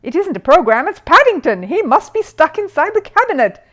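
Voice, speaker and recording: A woman reader voicing an excitable, eager schoolboy in a children's story, full of exclamations. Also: extremely high pitch, a little fast, pitched above the performer's own voice. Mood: alarmed.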